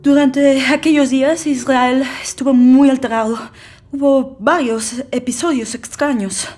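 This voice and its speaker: A woman's voice: sits high, fairly even intonation, a little slow, darkish tone. An actress playing a frightened, defensive woman under interrogation in an audio docudrama, hesitant and stammering. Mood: anxious, recounting. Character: frightened young Frenchwoman under police interrogation, pleading, defensive.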